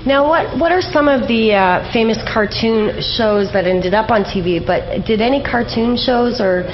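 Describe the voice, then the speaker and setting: A woman's voice: a little high, moderate pace. A woman hosting a casual radio interview, talking conversationally as she questions her guest. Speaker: radio show host, interviewer. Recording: dull lo-fi radio audio, faint music.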